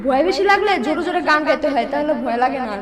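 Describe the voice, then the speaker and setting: A woman's voice: sits high, expressive, very fast, crowd noise. A woman voicing a playful companion character who coaxes and gives advice. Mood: coaxing.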